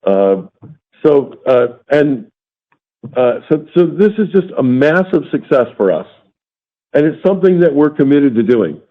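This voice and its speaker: A man speaking as a company executive on an earnings call, deliberate and emphatic, stretching key words. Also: a little high, sing-song. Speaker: company executive answering analysts. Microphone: phone or conference line. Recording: thin phone-line conference call.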